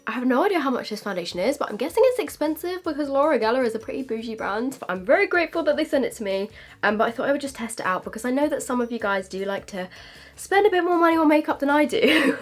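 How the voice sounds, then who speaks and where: Chatty British woman, slightly stuffy. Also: fairly high pitch. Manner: chatty, filler-heavy with frequent "like". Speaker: beauty vlogger. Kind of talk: casual makeup review vlog.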